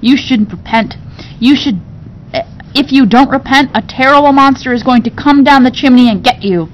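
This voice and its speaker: A woman's voice: normal speed, natural voice. A woman reading a storybook, voicing a strict nanny who admonishes and threatens a child. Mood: ominous warning. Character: scolding nanny, stern and threatening. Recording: dull recording with low rumbling noise.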